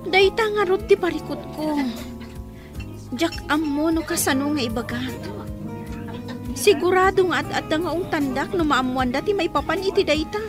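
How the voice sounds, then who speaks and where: An actress playing a reckless, rebellious high school girl in a radio drama, with music under her. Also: lively intonation, normal speed. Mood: troubled, sullen.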